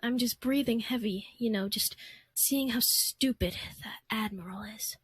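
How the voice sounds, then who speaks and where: Woman playing a husky, cheeky Sith apprentice. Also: fairly high pitch, a little slow, no room sound. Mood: defensive, cheeky. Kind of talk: hobby Minecraft roleplay acting.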